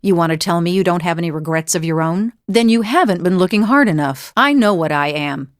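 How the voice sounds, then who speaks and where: A woman's voice: lowish voice, animated, brisk, no room sound, clean studio sound, close to an everyday voice. A woman playing a weathered, good-humored mercenary in a video game, speaking in a wry, plainspoken, reflective way. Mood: reflective, regretful. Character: weathered, world-weary but good-humored female mercenary seeking forgiveness. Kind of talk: scripted video game character dialogue. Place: dry studio voice recording.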